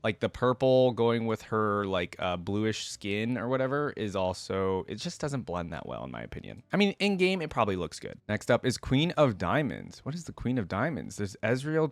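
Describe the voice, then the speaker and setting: A man's voice: on the low side, no room sound. A man giving casual, opinionated reaction commentary as a host, musing aloud in an informal way.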